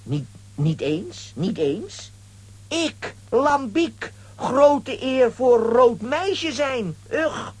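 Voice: medium pitch, sing-song, very slow, a little mellow, slightly rough, no room sound, full character voice. An actor playing a bumbling, boastful figure who stammers and trips over words in a children's radio play. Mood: pompous. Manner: stammering, tongue-tripping. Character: Lambiek, bumbling blustery man, stammering and boastful.

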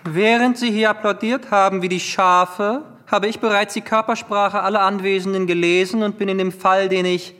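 An actor playing a pompous, grandiloquent aristocratic amateur detective in a theatrical radio drama. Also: male voice, high voice, steady tone, normal speed, few pauses, pushed and bright. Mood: self-assured. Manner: pompous, grandiloquent speech-making. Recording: long hall reverb with music underneath.

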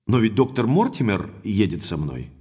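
An actor playing an impulsive, forthright young baronet in an audio drama, speaking emphatically and earnestly. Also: male voice, lowish voice, sing-song, moderate pace, mellow, breathy edge.

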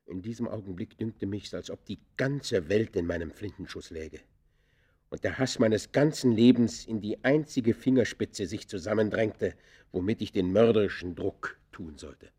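An actor playing an embittered outlaw poacher, confessing in the theatrical, literary manner of a classic German radio play. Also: male voice, on the low side, moderate pace, dark tone, slightly rough. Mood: tense, haunted.

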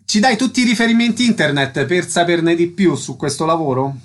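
A man's voice: on the high side, animated, a little fast. A man interviewing a guest on radio, asking concise, prepared questions.

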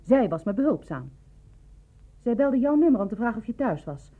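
A woman in a radio drama playing a lonely, bereaved woman, coolly probing and insinuating in measured, clipped phrases. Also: on the high side, big pitch swings. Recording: narrow, dull lo-fi radio play recording.

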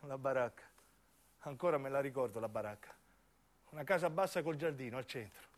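A man's voice: medium pitch, brisk. A man with a southern Italian accent performing a theatrical radio monologue, dramatic and shifting from intimate narration to acted dialogue. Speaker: stage actor and narrator.

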